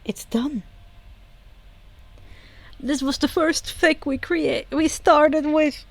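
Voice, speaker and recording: A woman's voice: high-pitched, takes it slowly, no echo. A woman reading fan fiction aloud as an amateur audiobook narrator.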